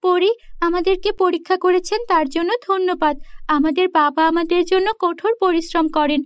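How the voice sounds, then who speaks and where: A woman's voice: very high voice, dry recording, studio quality. A woman voicing an earnest, principled young village girl in a cartoon fairy tale.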